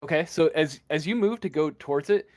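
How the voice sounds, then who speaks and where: A man improvising narration as game master of a tabletop roleplaying game, often pausing mid-sentence as he goes. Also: on the high side, no room sound, clean studio sound.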